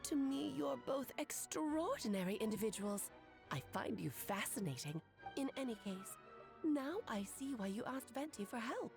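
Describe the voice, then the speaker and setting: A woman's voice: fairly high pitch, lively intonation, moderate pace, vocal fry, a little mellow. A woman voicing a playful, theatrical aunt who is a powerful mage in a video game, teasing and affectionate. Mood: charmed, composed. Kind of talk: scripted game character acting. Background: game music.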